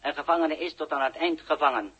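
An actor playing a pedantic robot guard in a 1960s radio play, with stiff, formal, bureaucratic diction. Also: male voice, a little high, fast, bright, forceful tone, slightly rough, muffled recording. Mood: officious, unemotional. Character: pedantic officious robot guard escorting human prisoners. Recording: very noisy old radio recording.

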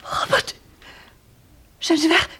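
A woman acting a weak, dazed attack victim in a radio thriller, breathy and halting. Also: bright, forceful tone. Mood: weak, shaken. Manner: halting, faltering speech.

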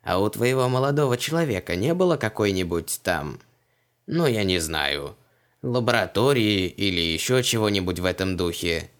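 A man voicing an elderly father in an audiobook, gruff, caring and dryly teasing. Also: on the low side, expressive, moderate pace, close to an everyday voice. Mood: concerned. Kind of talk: audiobook character voice.

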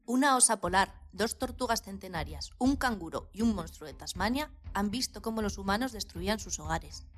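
A woman's voice: mid-range voice, lively intonation, normal speed, hardly any pauses. Female radio co-host, mid-range voice, scripted narration, faint music possible behind.

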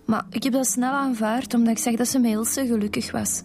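A woman's voice: fairly high pitch, fairly even intonation, brisk, a little mellow, lightly acted. A young woman with a Flemish accent playing a weary, protective student daughter in a radio drama, hesitant and subdued. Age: young adult.

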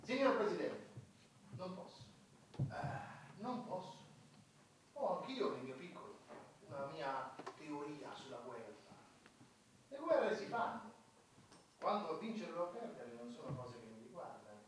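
A wry, stubborn subordinate in a satirical radio play. His voice is high and unhurried, with an ironic, theatrical delivery. He sounds distant, in a heavily echoing hall. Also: big pitch swings, noisy background. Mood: exasperated refusal. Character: wry, stubborn male underling chronicling an absurd war. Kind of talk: satirical theatrical narration and dialogue. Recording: very echoey hall, distant from the mic.

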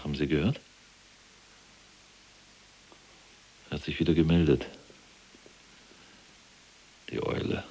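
An actor playing an imprisoned former SS general in a radio play, cold and self-righteous, telling his story in a deliberate, dramatic way. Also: slight background noise, low-quality recording. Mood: brooding, hushed. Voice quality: very deep, gravelly, husky. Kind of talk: German radio play acting, monologue.

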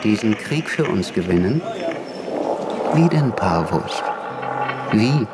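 A man playing a shrewd, sceptical figure of authority in a radio drama, with probing, sardonic short phrases and loud music behind. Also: fairly low pitch, sing-song, takes it slowly.

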